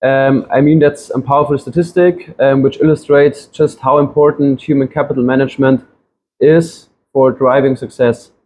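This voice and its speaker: A man's voice: medium pitch, fairly even intonation, normal speed, darkish tone, a little hiss or hum. A man, giving a classroom presentation with frequent ums and restarts. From Germany. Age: 20s. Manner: hesitant, many ums and restarts. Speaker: student presenter.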